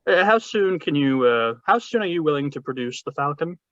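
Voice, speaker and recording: A man's voice: fairly high pitch, expressive, fairly quick, no echo, natural voice. A man playing a suave, wordy older crime boss with pompous, florid politeness in a 1940s-style radio drama over a video call. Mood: smoothly bargaining. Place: remote read-through over a video call. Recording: muffled, dry video-call audio.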